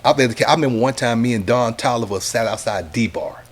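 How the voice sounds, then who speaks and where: Animated man in casual chat. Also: medium pitch, quick pace. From Texas, USA. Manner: animated, acts out quoted people, says "you know what I'm saying" a lot. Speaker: radio DJ and interview guest.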